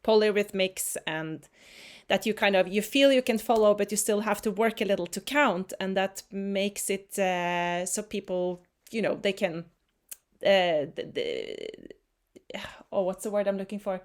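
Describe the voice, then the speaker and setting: A woman musician from Norway, whose first language is Norwegian, answering interview questions in a relaxed way, often stopping to hunt for words. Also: medium pitch, a little slow. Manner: searches for words, many restarts and fillers. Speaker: folk musician.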